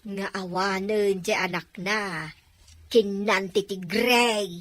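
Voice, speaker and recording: A woman's voice: mid-range voice, steady tone, takes it slowly, dry recording, natural voice. A woman playing an obliging, deferential housemaid who schemes with her madam in an audio drama. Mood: conspiring. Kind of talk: dramatized audio story acting.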